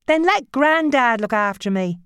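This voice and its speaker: A woman's voice: on the high side, animated, measured pace, fairly bright tone, clean tone, no echo, studio quality, voice pushed up. A woman voicing a fiery, stubborn teenage girl in an audiobook novel, petulant and defiant. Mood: defiant, pleading.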